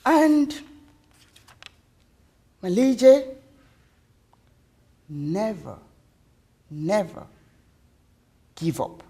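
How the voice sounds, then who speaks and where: A woman voicing a loving, weary, emotional mother in a story, with pleading, earnest, drawn-out words. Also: mid-range voice, very animated, vocal fry, darkish tone. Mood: tender, resolute.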